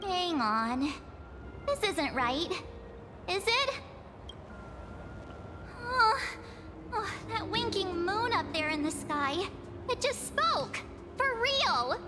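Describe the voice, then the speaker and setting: A bubbly young game heroine with a very high voice that swings up and down in a lilting way. She drawls her wide-eyed questions slowly. Game music plays clearly under her. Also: very animated, heavily acted. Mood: amazed. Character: bubbly excitable young woman companion. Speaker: game character.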